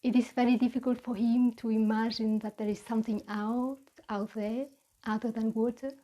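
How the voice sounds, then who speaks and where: A woman with a Vietnamese accent giving a reflective mindfulness talk as a meditation teacher, with halting, deliberate phrasing. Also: on the high side, little pitch movement, dark tone, dull audio.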